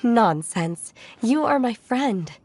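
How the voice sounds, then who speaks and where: A woman voicing a calm, stoic young disciple of immortals in a video game, formal and measured. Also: fairly high pitch, full character voice. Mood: hospitable, firm. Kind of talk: video game character acting. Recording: music playing behind.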